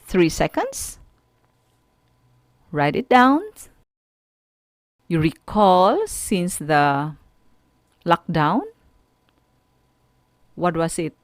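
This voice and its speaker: A woman with a Filipino accent teaching an online radio school lesson, speaking to students in a deliberate, instructive way and putting questions to them. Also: lowish voice, dry recording, studio quality.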